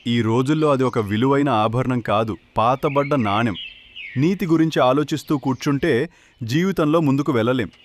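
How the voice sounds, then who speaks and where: A man's voice: medium pitch, normal speed, a little hiss or hum, lightly acted. Man playing an impatient, cynical young villager. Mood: frustrated, cynical. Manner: complaining, cynical, exclaiming. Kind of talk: animated moral story dialogue.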